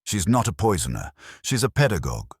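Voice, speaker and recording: A man's voice: sits low, huge pitch swings, brisk, breathy edge, no echo, studio quality, pitched above the performer's own voice, exaggerated voice. A man voicing a big, imperious, pedantic detective in a mystery audiobook, with pompous, formal, commanding speech.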